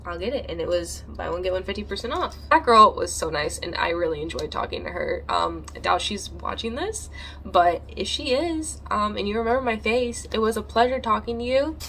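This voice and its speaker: A woman's voice: medium pitch, animated, a little fast, pushed and bright, a little hiss or hum. A woman vlogging casually about books, chatty and rambling, with a congested, slightly nasal voice. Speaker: book vlogger. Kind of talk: casual vlog chat.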